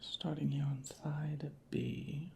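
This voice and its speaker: A hushed, breathy ASMR voice, soft-spoken and whispering in a deliberate, unhurried roleplay as an inspector. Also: mid-range voice, steady tone, slight background noise. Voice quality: breathy whisper with creaky fry. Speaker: ASMR creator playing a record inspector.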